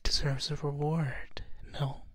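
Man as soft, flirty femboy doctor, very close. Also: fairly high pitch, flat delivery, slow pace, no room sound. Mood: soothing, teasing. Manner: teasing, intimate, soothing. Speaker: ASMR roleplay performer. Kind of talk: ASMR roleplay, whispering and soft speech. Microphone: close binaural 3Dio microphone.

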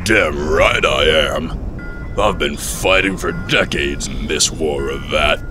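A deep, gruff veteran soldier, acted by a man for a cartoon comic dub. He is bossy and blunt like a drill sergeant, yet weary of war. Music plays behind him. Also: slow pace. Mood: determined, gruff. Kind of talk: fan-dubbed comic voice acting.

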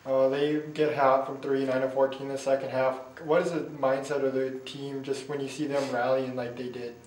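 Man asking flat postgame questions. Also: mid-range voice, normal speed. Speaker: interviewer. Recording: echoey room, distant mic, faint hiss.

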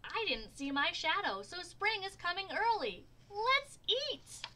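A woman voicing a hungry, scheming young male groundhog who talks high and loud in a storybook. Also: moderate pace, a little hiss or hum. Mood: gleeful.

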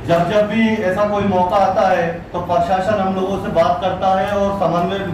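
A middle-aged man speaking as a religious community leader at a meeting, in deliberate, insistent phrases. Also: high-pitched, little pitch movement. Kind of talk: public address at an administrative meeting. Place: crowded indoor meeting room. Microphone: distant camera microphone. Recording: echoey room, distant microphone, faint noise.

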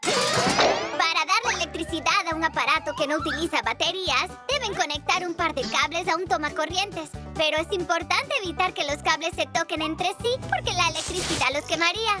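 An eager young girl from a kids' cartoon, explaining things brightly. The voice sits very high. Music plays along behind her. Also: animated, brisk. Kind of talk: cartoon dub.

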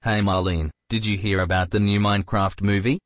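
Low-voiced man hosting a tutorial. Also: level tone, brisk, no echo. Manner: self-deprecating, plain delivery. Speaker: host. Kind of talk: casual joking narration.

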